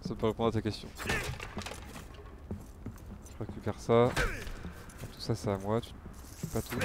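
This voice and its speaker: A man's voice: fairly low pitch, noisy background. A man giving casual live game commentary, muttering to himself and swearing freely. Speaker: game streamer.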